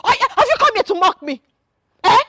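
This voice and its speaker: An actress with a Nigerian accent playing a fiercely loyal, indignant wife in a melodramatic film, scolding emphatically and repeating herself. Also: female voice, extremely high pitch, big pitch swings, fast, heavy background noise. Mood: indignant, defiant.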